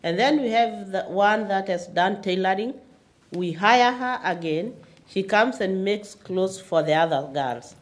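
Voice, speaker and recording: A woman gives a panel answer in short, simple sentences. Her delivery is matter-of-fact and her voice sits at a middle pitch. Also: expressive, a little slow. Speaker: panelist, runs girls' safe houses. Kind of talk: plain-spoken panel answer.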